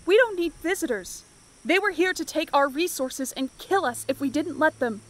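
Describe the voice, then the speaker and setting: Woman acting a high-voiced, defiant young islander. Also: lively intonation, normal speed. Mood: hostile. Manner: curt, scornful. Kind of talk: fantasy audio drama acting.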